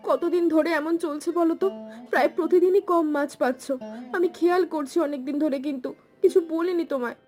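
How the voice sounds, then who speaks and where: A woman's voice: very high-pitched, fairly quick, a little mellow, soft background music. An actress playing a devoted, anxious middle-aged village wife of a fisherman in an emotional cartoon folk tale. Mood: worried. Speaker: cartoon voice actor.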